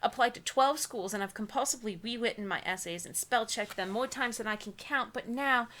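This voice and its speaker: A woman's voice: on the high side, a little fast, a bit bright. A young woman in a casual read-aloud, giggly and often breaking off to add mocking comments. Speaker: co-host reading books aloud.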